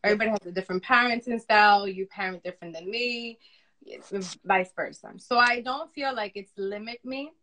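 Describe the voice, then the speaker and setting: A woman, young to middle-aged, with a loud voice, chats casually and candidly as an interview guest, repeating phrases for emphasis. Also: medium pitch, fairly quick, rough recording. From Dominican upbringing. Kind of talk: casual personal conversation. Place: remote live video chat.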